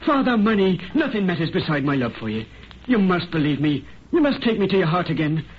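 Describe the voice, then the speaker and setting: Impassioned young Irish lover, swooping voice. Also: male voice, high voice, sing-song, fairly quick. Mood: ardent, pleading. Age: young adult. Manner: impassioned, florid. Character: ardent, pleading young Irish farmer's son, lover. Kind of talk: old-time radio melodrama acting. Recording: muffled, noisy vintage radio recording.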